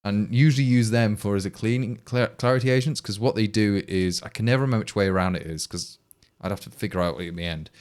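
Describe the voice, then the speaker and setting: A man's voice: on the low side, fast, a little mellow. An English man with an East Midlands accent, chatting casually to stream viewers in a rambling, exasperated way with many restarts. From England. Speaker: livestream programmer.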